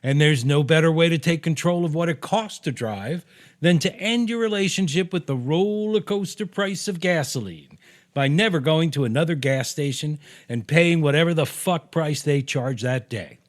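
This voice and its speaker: A man's voice: on the high side, animated, moderate pace. An American man reading his own opinion piece aloud in a punchy, sarcastic, salty-tongued way, as a podcast host.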